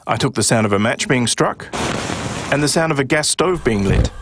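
A man's voice: medium pitch, lively intonation, moderate pace, noisy background. A middle-aged man narrating a scripted explainer as a sound designer.

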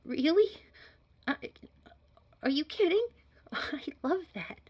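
A woman's voice: sits high, lively intonation, slow pace, dull audio. A woman playing an eager young job applicant in an audio roleplay, hesitant, gushing and nervously bubbly. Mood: excited. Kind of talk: scripted audio roleplay acting.

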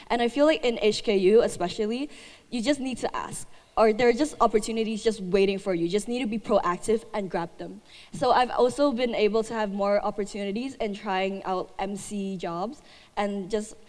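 Young female student speaker, chatty and upbeat, mid pitch, handheld mic with long hall reverb. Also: darkish tone. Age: young adult. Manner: chatty, upbeat, many fillers. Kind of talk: presentation talk. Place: large hall. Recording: echoey hall sound.

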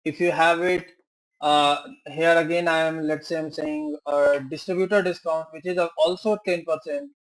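A man teaching a software tutorial, working through examples step by step with rhetorical questions, fillers and self-corrections. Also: a little high, steady tone, normal speed, rough recording. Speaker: software trainer. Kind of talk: screen-share tutorial walkthrough.

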